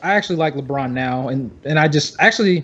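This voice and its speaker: A man's voice: on the high side, fast talker, fairly bright tone, breathy edge, lo-fi sound. A man co-hosting a fantasy basketball podcast in casual banter, rambling and emphatic, often repeating himself for effect. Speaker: podcast co-host.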